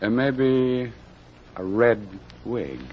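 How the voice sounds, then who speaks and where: An actor playing a brisk, skeptical police captain questioning people in an old-time radio detective drama. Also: male voice, medium pitch, over loud music. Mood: wry, musing. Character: brisk, skeptical male police captain running a murder investigation. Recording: dull, band-limited old radio recording.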